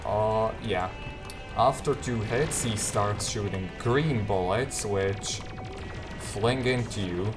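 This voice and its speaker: A man giving an off-the-cuff video game walkthrough in a low-key, hesitant way, often trailing off. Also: takes it slowly, music in the background. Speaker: gamer giving a guide.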